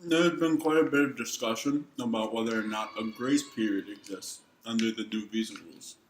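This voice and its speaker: A man's voice: lowish voice, fairly even intonation, a little fast, close to an everyday voice. A man playing a plain-spoken traveler in a dramatic reading of an email. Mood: matter-of-fact. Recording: echoey room, faint background noise.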